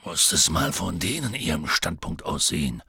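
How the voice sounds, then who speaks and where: A man voicing a huge, gruff, anxious half-giant groundskeeper in an audiobook, rambling nervously and clipping his words. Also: extremely low pitch, very animated, normal speed, bright, forceful tone, no room sound, studio quality, heavily acted. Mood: uneasy. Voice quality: gravelly, husky. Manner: rambling, nervous, drops word endings. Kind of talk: audiobook character voice.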